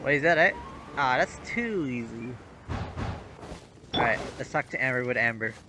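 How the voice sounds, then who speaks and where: A man's voice: mid-range voice, expressive, normal speed. A man streaming a video game, commenting casually, joking and reacting aloud as he plays. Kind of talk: casual livestream gameplay commentary. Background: game music and sounds.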